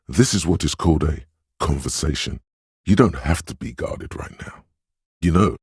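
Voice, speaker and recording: An extremely low voice plays a coaxing inner voice for a video game. It is heavily husky and breathy, measured and knowing, as if advising a troubled cop from inside his head. The recording is close and dry. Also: darkish tone, no room sound, heavily acted. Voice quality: very deep, husky. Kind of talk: scripted second-person game narration.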